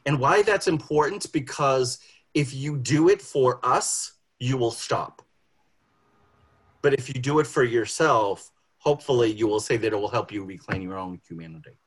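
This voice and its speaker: A man with a husky voice giving a prepared talk on social justice as a panel speaker, phrasing it deliberately and with emphasis. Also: mid-range voice.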